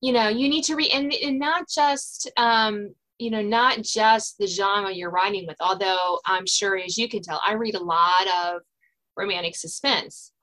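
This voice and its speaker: A woman's voice: medium pitch, a little slow, pushed and bright, rough recording. Woman hosting a casual podcast. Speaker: podcast host and author. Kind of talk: casual interview chat.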